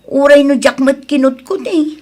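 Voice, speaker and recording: A woman's voice: sits high, normal speed, darkish tone, close to an everyday voice. A woman acts as an earnest young daughter with an Ilocano accent. She sounds plaintive and wronged yet stays polite, in a radio-drama performance. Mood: aggrieved, plaintive.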